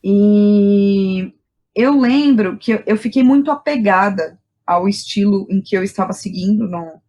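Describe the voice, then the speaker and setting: A woman's voice: mid-range voice, unhurried, a little mellow. Brazilian woman reflecting in conversation. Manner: thinking aloud, often seeking agreement with tag questions. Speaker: interview guest, playwright and theatre director.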